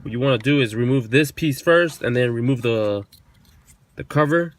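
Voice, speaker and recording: Man, mid-pitched voice, casual step-by-step tutorial, informal and repetitive, some background noise and clicks. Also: moderate pace. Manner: informal, occasional swearing, repeats himself. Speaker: host of a car installation tutorial. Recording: noisy, clicks and vehicle sounds behind.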